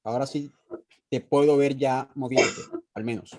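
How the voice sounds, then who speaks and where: A man hosting an online lecture who quickly reassures the guest speaker over a video call. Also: mid-range voice, fairly even intonation, a little mellow. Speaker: host. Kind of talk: casual chat.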